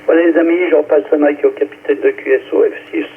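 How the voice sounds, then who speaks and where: A man's voice: a little high, fairly even intonation, fast. A man amateur radio operator giving a casual check-in and his call sign. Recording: narrow radio link with faint noise.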